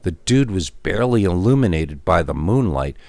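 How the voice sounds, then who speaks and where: A man narrating a scripted first-person scary story in an expressive, emphatic storytelling manner, drawing out key words. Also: sits low, normal speed, creaky, no echo.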